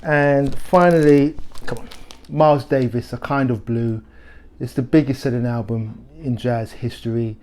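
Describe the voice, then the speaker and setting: A middle-aged man talks through his favourite records in an easy, conversational way, stopping and restarting his sentences as he goes. His voice is mellow and dark, pitched in an ordinary middle range. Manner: relaxed, conversational, with frequent restarts and fillers. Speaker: record shop host. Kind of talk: casual music recommendations to camera.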